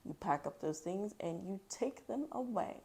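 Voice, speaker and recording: A woman's voice: mid-range voice, expressive, moderate pace, creaky, dark tone. A woman voicing an earnest, indignant young boy in a storybook, speaking emphatically.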